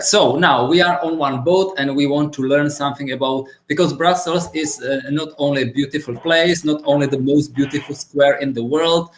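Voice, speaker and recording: A man from the Czech Republic giving a relaxed, chatty webinar presentation over a video call, with many filler pauses. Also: medium pitch. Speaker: guest speaker. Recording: echoey room on a video call.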